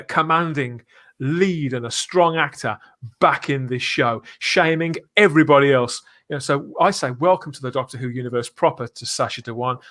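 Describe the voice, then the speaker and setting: A man hosting a live fan podcast, speaking in an earnest, emphatic way with deliberate phrasing. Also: fairly high pitch, fairly bright tone. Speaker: podcast host.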